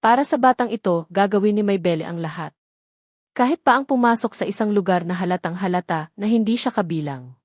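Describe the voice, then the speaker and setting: A woman's voice: mid-range voice, animated, fairly quick, no room sound, studio quality. A woman with a Filipino accent reading a scripted, dramatic story as its narrator.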